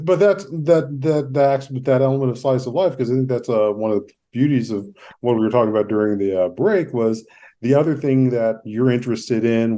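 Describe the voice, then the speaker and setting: A man hosts a conversational interview in a mid-range voice. His tone is dark and relaxed, and his phrasing is halting and roundabout as he works toward each point. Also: mid-range voice. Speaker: podcast host, therapist.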